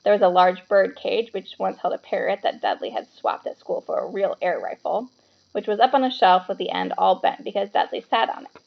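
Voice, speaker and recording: A woman narrating a children's novel aloud as an expressive storybook reader. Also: medium pitch, brisk, creaky, dull audio.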